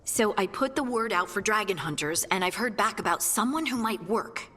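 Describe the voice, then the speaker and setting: Brisk, practical female scout for a fantasy game; bright, forceful, reverberant. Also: mid-range voice, animated, faint music behind. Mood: practical, focused. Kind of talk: video game dialogue.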